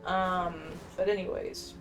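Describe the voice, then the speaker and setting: A woman's voice: lowish voice, level tone, slow, low-quality recording. A woman giving casual, slangy, meandering commentary as she plays a video game. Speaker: gameplay streamer. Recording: game music faintly behind.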